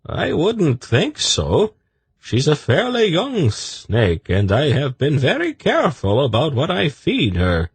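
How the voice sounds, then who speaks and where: A man voicing a gentle young man who worries over his pet snake, in an audiobook. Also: fairly high pitch, wildly swooping pitch, takes it slowly, breathy edge, no echo, voice pushed up, full character voice. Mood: earnest.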